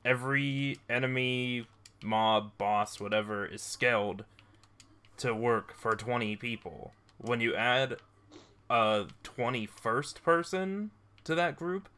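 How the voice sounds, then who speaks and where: A young man streaming a game, chatting casually with friends and explaining things in a dry, drawn-out way. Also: medium pitch, bright, forceful tone, clean tone. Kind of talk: casual gaming chat.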